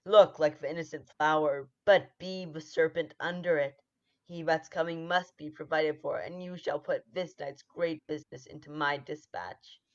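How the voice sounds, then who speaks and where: A woman playing a ruthless, scheming noble lady who drives her husband on, in 1940s radio-play style, with urgent forceful delivery. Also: low voice, steady tone, moderate pace. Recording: dull lo-fi sound.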